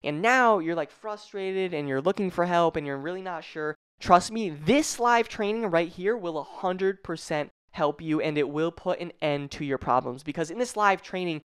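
Stuffy-voiced woman fitness coach, lower-pitched, high-energy live-stream host who swears freely. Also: moderate pace, few pauses, clear, resonant tone. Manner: hyped, swears casually, addresses viewers by name. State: congested, a little stuffy.

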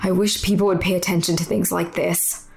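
A woman's voice: fairly low pitch, flat delivery, brisk, vocal fry. A young woman acting in an audio drama as a weary, candid non-binary muse, telling their story in a plain, conversational first-person way. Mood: candid, wistful. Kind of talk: confessional monologue in audio fiction. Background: music under the voice.

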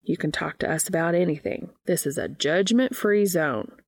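A woman voicing a gentle, reassuring man who leads a support group, in an audiobook reading. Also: fairly low pitch, moderate pace. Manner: gentle, soothing. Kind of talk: audiobook character dialogue.

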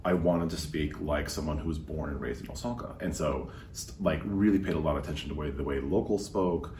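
A man from the United States, a podcast guest telling stories in a relaxed conversational way. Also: extremely low pitch, brisk, echoey room, recorded from a distance, slight background noise. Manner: relaxed storytelling with fillers. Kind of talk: casual conversation.

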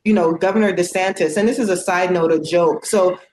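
A woman's voice: on the low side, steady tone, brisk, a little mellow, a little hiss or hum. Outspoken woman talking in an echoey room. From United States. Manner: earnest and outspoken, with frequent fillers. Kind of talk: casual conversation.

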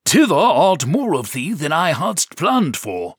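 A man's voice: fairly high pitch, huge pitch swings, breathy edge, no room sound, studio quality, voice pushed up, full character voice. A man voicing a bold, pompous knight who declaims in mock olde English. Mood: solemn, rousing. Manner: grandiose, archaic, slow and declaiming.

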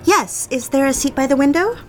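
A woman's voice: sits high, animated, fairly quick, darkish tone, soft background music. An actress playing a genteel, eager young society woman in an audio drama, speaking and narrating with proper, polite diction. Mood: brisk, coaxing. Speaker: lead character and narrator. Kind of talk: audio drama acting with first-person narration.

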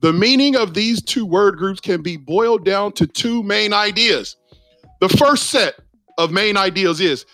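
A man preaching a sermon, emphatic and direct, often calling out to the listeners as ladies and gentlemen. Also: sits high, no room sound.

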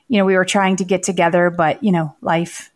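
A woman co-hosting a podcast in casual chat, her voice raspy from laryngitis, talking quickly with many fillers. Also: on the low side, level tone. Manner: chatty, quick, full of fillers. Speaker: podcast co-host.

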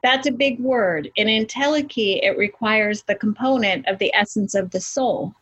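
A woman's voice: mid-range voice, moderate pace, fairly bright tone, slightly rough, low-quality recording. A woman co-hosting a podcast interview, effusive and affirming, often tagging her points with "right?". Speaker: podcast co-host.

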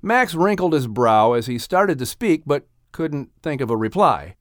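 A man narrating a children's audiobook in an expressive, scripted storytelling style. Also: fairly high pitch, unhurried, dry recording, studio quality.

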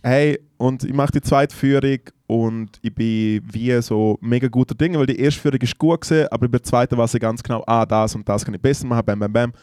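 A man's voice: medium pitch, fairly even intonation, a little fast, hardly any pauses, darkish tone, clean tone, no room sound, clean studio sound. A young Swiss man with a Swiss German accent, chatting casually as a podcast co-host and telling slangy, laugh-filled stories. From Switzerland. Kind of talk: casual chat.

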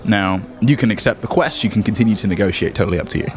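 A man's voice: on the low side, expressive, racing. A man casually narrating and explaining rules as game master of a tabletop roleplaying game.

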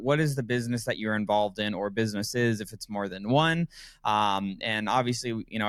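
A man's voice: mid-range voice, a bit bright, clear, resonant tone, no echo, clean studio sound. A man hosting a business podcast, asking loose, upbeat questions full of fillers. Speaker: podcast host. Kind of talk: podcast interview with rambling questions.

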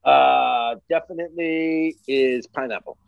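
A man's voice: medium pitch, a little slow, creaky, dry recording, narrow, tinny audio. A man chatting casually as an interview guest, joking around in an irreverent, sweary way.

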